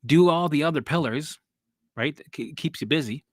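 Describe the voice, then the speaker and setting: A man's voice: fairly high pitch, normal speed, no echo, studio quality. A man hosting a casual live stream as a recovery coach. He rambles and repeats himself, swears casually and laughs at himself as he answers viewers.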